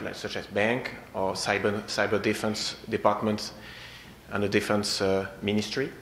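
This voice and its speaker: A man with a strong French accent giving a conference presentation, halting, with many fillers and repeated words. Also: on the low side, fairly bright tone. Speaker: conference speaker. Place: conference hall. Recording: echoey hall with faint noise.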